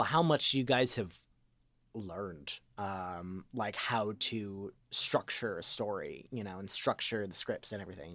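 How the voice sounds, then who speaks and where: A man's voice: fairly low pitch, dry recording. A man chatting casually as an interview guest, rambling and informal with a goofy streak. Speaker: voice actor and improv comedian, podcast guest.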